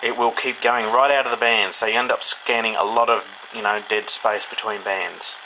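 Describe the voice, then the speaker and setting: A man's voice: on the low side, fairly even intonation, moderate pace. An Australian man giving a casual, unscripted product review indoors, thinking aloud in an informal, hesitant way. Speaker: hobbyist radio reviewer. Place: indoors, in a room. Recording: clear background noise, indoor home recording.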